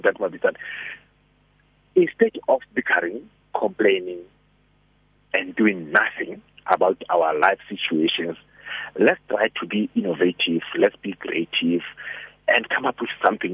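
A man with a raspy voice, a radio guest giving animated, persuasive motivational talk by phone. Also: fairly low pitch, moderate pace, no room sound. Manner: animated, persuasive, asks rhetorical questions. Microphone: telephone. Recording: tinny dry phone line.

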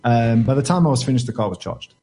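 A man with a mellow, slightly husky voice, talking in a relaxed, halting way with lots of ums and uhs. He sounds like a regular tech contributor on a casual radio chat. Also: mid-range voice. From South Africa. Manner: relaxed, hesitant, many fillers.

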